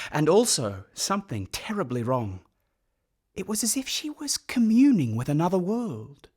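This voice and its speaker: Haunted young orphan narrating gothic radio drama, husky, hushed, pitch moves a lot. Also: male voice. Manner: hushed, intense, literary. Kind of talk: dramatic first-person radio play narration.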